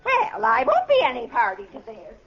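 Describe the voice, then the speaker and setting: An actress playing a loyal, disapproving maid in 1940s radio comedy, prim and deferential. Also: female voice, high-pitched, huge pitch swings, moderate pace, vocal fry, fairly bright tone, full character voice. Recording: dull old radio recording.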